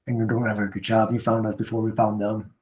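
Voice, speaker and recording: A man's voice: lowish voice, flat delivery, fast talker, darkish tone. A man playing a tabletop game in character, hesitant with many fillers. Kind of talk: casual in-character play.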